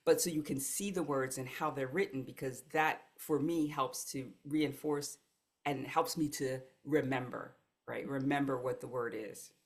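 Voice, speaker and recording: A woman's voice: rumbling low, fairly even intonation, normal speed. An American woman, a life coach, telling her story as a podcast guest in an earnest, reflective way. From United States. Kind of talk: podcast conversation.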